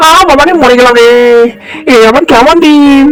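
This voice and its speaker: A woman's voice: sits high, normal speed, lightly acted, poor audio. A woman voicing a sly, greedy male fox in a children's cartoon, with an exaggerated delivery. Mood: panicked pleading. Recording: music behind the voice.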